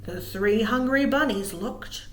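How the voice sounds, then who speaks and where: High-pitched woman reading slowly to children. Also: roomy sound. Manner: expressive, asks listeners questions, dramatic emphasis. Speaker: children's librarian reading at a virtual storytime. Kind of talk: animated read-aloud to young children.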